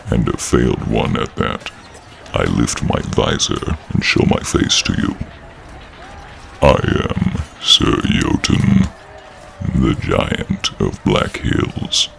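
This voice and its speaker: A man voicing a giant enemy knight in a fantasy audio role-play, formal and courteous yet menacing, with an imposing, deliberate delivery. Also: a bit bright, a little hiss or hum, full character voice. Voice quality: very deep, gravelly.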